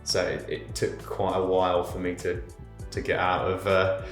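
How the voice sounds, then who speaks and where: A hesitant man in his thirties from London pauses often as he searches for words. His voice is deep and flat, in an echoey room with faint guitar behind him. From London, England. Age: 30s. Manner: hesitant, many ums. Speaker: interviewee. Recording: roomy echo, faint guitar music.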